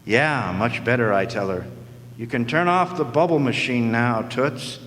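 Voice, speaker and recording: A man's voice: medium pitch, unhurried, a bit bright, close to an everyday voice. A man playing a wisecracking, world-weary private eye in old-time radio noir style, narrating with sardonic slang. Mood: wry. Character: wisecracking, world-weary hard-boiled male private detective. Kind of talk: 1940s radio noir acting, first-person narration. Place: live stage performance in a hall. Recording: echoey hall, background noise.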